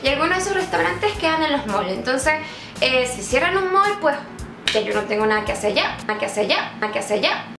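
A young Venezuelan woman talks to camera in a high, bright voice, joking at her own expense and slipping Chilean slang into her answers. The sound is roomy and echoey. Music plays clearly behind her. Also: quick pace. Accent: Venezuelan, putting on Chilean slang. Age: 20s. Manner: playful, self-mocking, laughing often, animated hand gestures. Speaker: YouTuber. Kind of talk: casual vlog answering questions.

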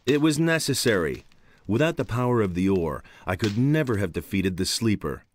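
An actor playing a blunt, cocky, nonchalant adventurer hero in a fantasy video game. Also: male voice, lowish voice, sing-song, moderate pace, a little hiss or hum. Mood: unbothered, blunt.

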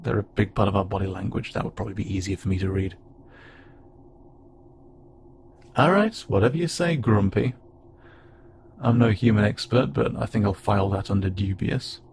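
A man voicing a friendly, curious, slightly awkward alien spacefarer in a conversational audio roleplay, speaking haltingly and wryly. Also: lowish voice, creaky, background noise. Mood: teasing, curious. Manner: casual, halting, gently wry. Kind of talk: conversational audio roleplay acting to an unheard listener.

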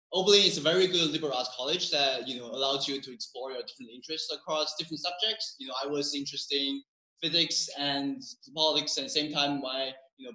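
A man's voice: a little high, pushed and bright. A young man from China moderating a student panel, hesitant, with many fillers. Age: young adult. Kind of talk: live admissions panel chat. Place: conference room. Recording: echoey room.

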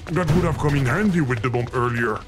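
A man's voice: mid-range voice, fast talker, soft background music, close to an everyday voice. A man voicing an impatient, exasperated, bossy spirit guide in a video game, dramatic and sardonic. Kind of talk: video game character acting.

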